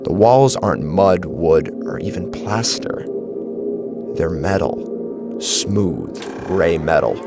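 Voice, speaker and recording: A man with a gravelly voice narrating a horror story in a dramatic, scripted style. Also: low-pitched, expressive, takes it slowly. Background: clear music.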